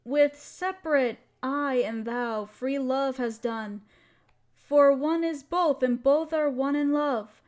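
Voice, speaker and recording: A woman acting as a loving young wife with a high voice and a slow, lingering pace. She is tender and playfully teasing, speaking intimately to her partner as in a girlfriend roleplay audio. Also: darkish tone. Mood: tender, reciting.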